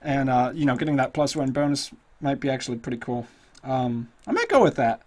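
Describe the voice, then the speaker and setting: A man with a slightly hoarse, middle-pitched voice talks through a tabletop character build at his own loose pace. He rambles and thinks aloud, full of ums and restarts. Keys clatter now and then behind him. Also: sing-song, a little fast, a little mellow. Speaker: tutorial host. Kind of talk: casual screen-recorded game tutorial.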